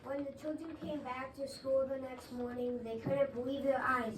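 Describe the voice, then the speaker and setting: A woman's voice: sits high, fairly even intonation, normal speed. A woman reading a children's story aloud in a readers' theater. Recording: echoey room, distant mic, faint noise.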